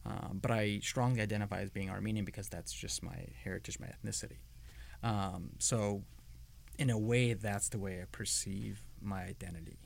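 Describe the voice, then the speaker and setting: A man's voice: fairly low pitch, fairly even intonation, moderate pace, vocal fry, a little hiss or hum. A middle-aged man from Iran answering interview questions in a hesitant way, with many ums and restarts.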